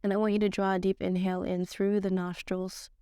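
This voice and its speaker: A woman with a stuffed-up, slightly nasal voice, leading a slow guided yoga class in a casual, slangy way. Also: mid-range voice, clean tone, dry recording, studio quality. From Nigerian-American. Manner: casual slangy teacher, slow calm cues. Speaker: yoga teacher.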